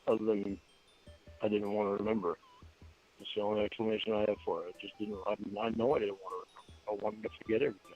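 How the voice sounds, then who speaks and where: Halting, low-voiced man on a dull recording. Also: fairly even intonation, darkish tone. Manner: halting, repetitive, explanatory. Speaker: suspect being questioned. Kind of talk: conversational interview answers. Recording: dull lo-fi recording with faint music.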